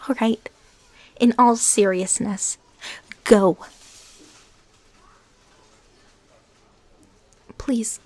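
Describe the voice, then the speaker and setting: A woman playing a lovesick, bitter ex-stalker fighting her obsession, in an emotional, halting audio roleplay monologue. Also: medium pitch, breathy edge, no echo. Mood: subdued, pained. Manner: halting, emotional, swinging between hushed whispers and outbursts.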